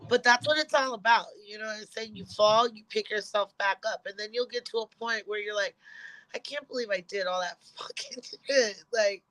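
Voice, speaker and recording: A woman hosting a casual interview, encouraging her guest in a relaxed, plain-spoken way. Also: mid-range voice, normal speed, low-quality recording. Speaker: podcast host.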